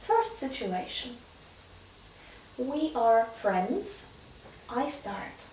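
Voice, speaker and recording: A woman with a high, very lively voice runs a spoken English exam, giving clear, measured prompts at an unhurried pace. Her voice sounds roomy and echoing, as if the mic sits some distance away. Faint background noise is heard under the talk. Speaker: exam interviewer.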